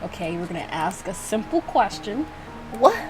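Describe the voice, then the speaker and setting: A woman's voice: medium pitch, big pitch swings, normal speed, music in the background. A woman giving casual, rambling playthrough commentary, giggly and poking fun at herself. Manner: self-mocking, giggly, rambling. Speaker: video creator reacting to own game.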